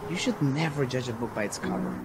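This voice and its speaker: A man's voice: mid-range voice, quick pace, very noisy, close to an everyday voice. An actor playing a kind, honest young male mechanic who speaks in a friendly, earnest way. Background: music.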